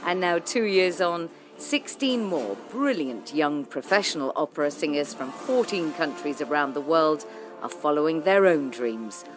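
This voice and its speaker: Low, lively-voiced woman presenter. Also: music in the background. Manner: measured, expressive presenter delivery. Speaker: radio arts presenter. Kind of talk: scripted broadcast narration.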